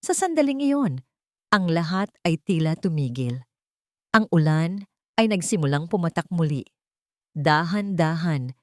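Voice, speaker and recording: Low-voiced woman narrating a dramatic story. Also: dry recording, clean studio sound.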